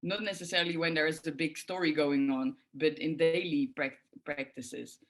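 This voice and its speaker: A woman's voice: low-pitched, fairly even intonation, moderate pace. A middle-aged woman from the Netherlands with a Dutch accent, speaking thoughtfully and with many filler sounds as a guest on forgiveness work. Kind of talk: reflective interview answers.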